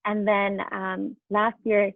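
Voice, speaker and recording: A woman's voice: medium pitch, monotone, slow pace, no room sound. A woman teacher moderating a class video call, speaking casually and encouragingly as if to young children. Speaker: elementary school teacher moderating questions. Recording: tinny, phone-like video call audio.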